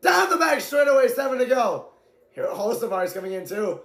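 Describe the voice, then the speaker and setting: Excitable amateur male race caller, high voice, hoarse from shouting, echoey room. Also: fairly quick. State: voice going hoarse from strain. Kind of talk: excited live play-by-play commentary.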